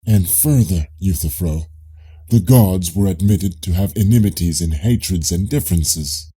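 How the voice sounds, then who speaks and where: A man voicing a probing, politely ironic Greek philosopher in an audiobook dialogue, questioning in a measured way with a husky, dark voice. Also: sits low, exaggerated voice. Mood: patient, probing.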